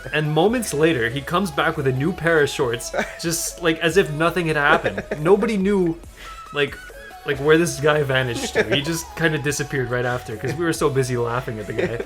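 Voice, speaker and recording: Young adult male gaming co-host, mid-low voice, casual rambling anecdotes, amused, faint music behind. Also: normal speed, a bit bright. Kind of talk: casual storytelling chat over gameplay. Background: background music.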